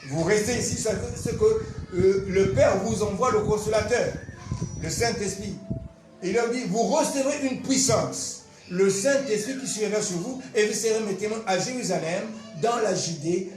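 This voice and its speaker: A man, a pastor giving an animated, emphatic testimony and teaching with repetitive storytelling. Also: high-pitched, normal speed. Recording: roomy echo, faint music behind.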